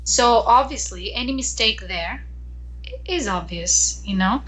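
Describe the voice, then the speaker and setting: A woman from Greece, a professional singer, chatting in an interview and often pausing and restarting mid-sentence. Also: fairly high pitch, animated, a bit bright, low-quality recording. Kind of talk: casual interview chat.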